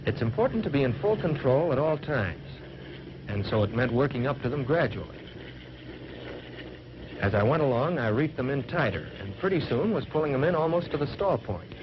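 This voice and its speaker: Man acting as young American fighter pilot, 1940s training film narration, plain-spoken, dull soundtrack, loud noise and drone. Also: medium pitch, lightly acted. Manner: plain-spoken, folksy first-person recounting.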